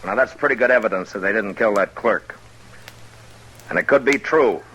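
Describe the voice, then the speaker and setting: A man acting a stoic, world-weary US marshal in a 1950s radio western, speaking tersely and gravely. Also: lowish voice, moderate pace, very noisy, close to an everyday voice. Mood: firm, commanding. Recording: old-time radio broadcast recording.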